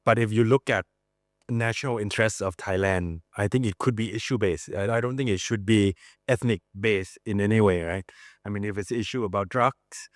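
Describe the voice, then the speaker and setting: A man speaking as an academic guest at a seminar, halting, repeating words and tagging points with "right?". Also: fairly low pitch, no room sound, clean studio sound. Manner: halting, repeats words, rhetorical tag questions. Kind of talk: seminar answer, informal academic talk. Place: seminar room.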